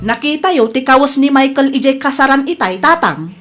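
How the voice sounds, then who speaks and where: A woman's voice: high voice, animated, fairly quick, music in the background. A woman playing a nosy, fault-finding younger village gossip in a radio comedy drama, exclamatory and needling, with a Filipino accent. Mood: insistent, gossipy.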